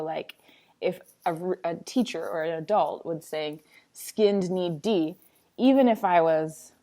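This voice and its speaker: A woman's voice: lowish voice, dark tone. A woman reading a picture book aloud like a teacher, with a sing-songy, playful, dramatic delivery and chatty asides.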